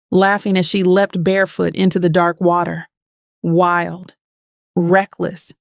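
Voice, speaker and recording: A haunted thirty-something woman played by an actress tells her own story of old wounds. Her voice sits at a middling pitch with a gravelly fry, recorded close and dry. She is bitter and brooding, in the manner of an audio thriller. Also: no echo. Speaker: narrator and lead of an audio drama. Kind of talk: first-person dramatic scripted narration.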